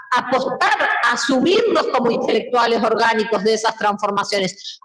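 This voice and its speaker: A woman's voice: fairly high pitch, a bit bright. An Argentine woman giving an impassioned political talk, with emphatic repetition and rhetorical questions. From Mendoza, Argentina. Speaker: book presenter, political speaker. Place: indoor public book presentation. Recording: roomy event hall, distant microphone.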